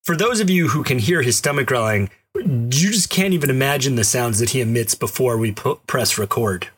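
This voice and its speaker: A man from the Boston area co-hosting a podcast, talking in a relaxed, wry, questioning way. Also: medium pitch, moderate pace, breathy edge. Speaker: podcast co-host. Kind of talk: casual chat.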